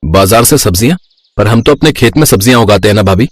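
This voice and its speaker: A serious city-dwelling son in a family tale, acted by a man. His voice is low and husky, dryly recorded, and his delivery is plain. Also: expressive, fast, dry recording. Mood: questioning, serious. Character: troubled adult son who moved to the city. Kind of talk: acted Hindi moral story dialogue.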